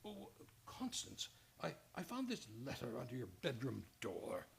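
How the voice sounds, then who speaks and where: A man acting in an old-time radio drama as a discreet doctor who speaks haltingly. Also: mid-range voice, huge pitch swings, gravelly, slight background noise, heavily acted. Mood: discreet, hesitant. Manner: halting, stammering. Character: hesitant, discreet male senior doctor.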